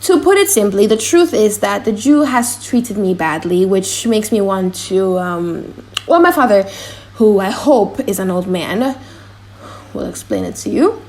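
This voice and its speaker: A woman's voice: medium pitch, lively intonation, unhurried, darkish tone. A woman playing a cheeky, rambling young male servant clown in an audiobook drama, often breaking off mid-thought. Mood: eager, bumbling.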